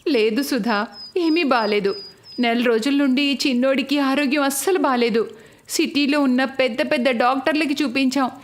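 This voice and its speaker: A woman voicing a worried mother and caring elder sister in an animated family story, concerned and affectionate. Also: high voice, normal speed, close to an everyday voice.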